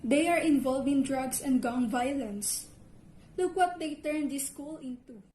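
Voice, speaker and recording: A cynical, dismissive school official, acted by a woman in an amateur play. The voice is high but soft-edged and dark, in an echoey room with some noise behind it. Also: measured pace. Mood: exasperated. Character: cynical, dismissive school librarian or administrator guarding her books. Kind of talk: amateur one-act play acting.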